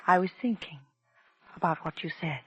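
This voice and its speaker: An actress playing a poised, genteel, scheming young stage actress in a 1940s radio drama, with theatrical composure. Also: female voice, lowish voice, animated, moderate pace, a bit bright, breathy edge, no echo, heavily acted. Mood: earnest, calculating. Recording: vintage 1940s radio recording.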